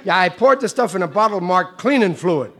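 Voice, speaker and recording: A man's voice: high-pitched, expressive, fairly quick, crowd noise. A man playing a bumbling middle-aged working-class American husband in an old radio comedy, blustering and stammering. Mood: sheepish. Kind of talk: 1940s radio sitcom acting. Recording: old radio recording, audience laughter.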